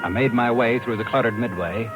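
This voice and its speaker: An actor playing a brash, persistent, inquisitive talent agent, narrating and acting in hardboiled 1950s radio style. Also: male voice, medium pitch, brisk, close to an everyday voice. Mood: wry, brooding. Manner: hardboiled, insistent. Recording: vintage 1950s radio with music behind.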